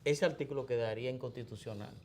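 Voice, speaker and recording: A man from the Dominican Republic with a Dominican Spanish accent, giving morning political commentary in a deliberate, explanatory way with restarts and fillers. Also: medium pitch, a little mellow, slight background noise.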